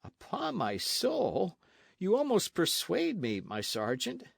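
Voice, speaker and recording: A man voicing an idle young nobleman who talks slowly with a weary, sighing manner in an audiobook. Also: sits high, huge pitch swings, vocal fry, husky, dry recording, clean studio sound, pitched above the performer's own voice, exaggerated voice. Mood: languid. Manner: slow, sighing, mildly weary.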